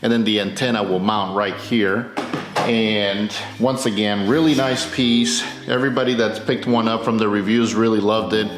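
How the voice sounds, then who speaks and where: Guy doing a chatty car install video indoors, roomy echo, music underneath, mid pitch voice. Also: steady tone, normal speed. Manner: conversational, explanatory. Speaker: video host demonstrating car accessory installation. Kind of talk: casual unscripted product unboxing and how-to talk. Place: tight indoor space, roomy sound.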